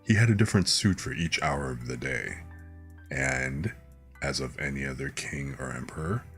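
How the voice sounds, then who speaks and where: A man hosting a bedtime story stream, speaking in a relaxed ASMR storytelling style. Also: rumbling low, moderate pace, soft background music.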